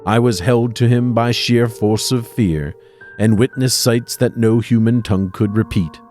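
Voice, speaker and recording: An actor playing a haunted, fearful army doctor, narrating a gothic horror audio drama in a grave, formal, dramatic way. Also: male voice, medium pitch, unhurried, a little mellow, close to an everyday voice. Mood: dread. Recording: music under the voice.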